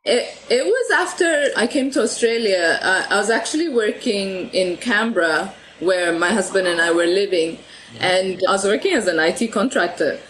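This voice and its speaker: Woman, from Ethiopia, podcast guest, mid pitch, laughing, restarting words, faint background hiss. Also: expressive, moderate pace, a bit bright, low-quality recording. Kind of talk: conversational interview.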